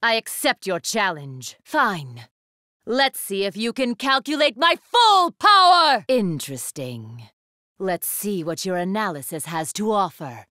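A woman's voice: mid-range voice, very animated, a little slow, sharp tone, dry recording, studio quality. A woman voice actor playing an imperious, iron-willed young warrior woman in an anime game, with a haughty, formal, declamatory delivery. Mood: cool, measured challenge. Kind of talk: English anime game voice acting.

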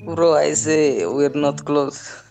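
A man's voice: a little high, steady tone, takes it slowly, darkish tone, soft background music. A man chatting casually as a caller on a voice chat app.

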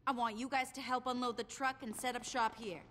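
An actress playing a tough, foul-mouthed gang boss in a video game cutscene, blunt, sarcastic and commanding. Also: female voice, fairly high pitch, fairly even intonation, brisk, a bit bright, slight background noise, lightly acted.

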